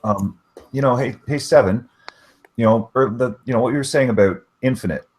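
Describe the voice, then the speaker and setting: A man's voice: mid-range voice, fairly quick. A man in a casual online chat who rambles, filling his talk with "you know" and "right". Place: online voice hangout.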